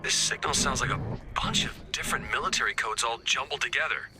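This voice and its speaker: A man's voice: mid-range voice, brisk, over loud music, natural voice. An actor playing a wry, harried agent briefing and coaxing his team in a video game. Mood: exasperated, coaxing. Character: wry, harried male agent leading a resistance mission. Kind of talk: scripted video game voice acting.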